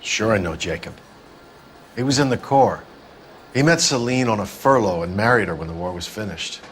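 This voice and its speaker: An actor playing a world-weary, gruff middle-aged 1940s club owner in a video game, blunt and wistful. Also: male voice, fairly low pitch, animated, moderate pace, fairly bright tone, slight background noise. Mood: resigned.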